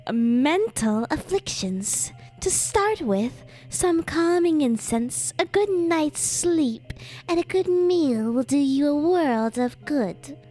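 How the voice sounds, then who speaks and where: A woman playing a calm, courteous physician in an anime-style video game, speaking in a measured, professional way. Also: sits high, rough recording. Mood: calm, advising.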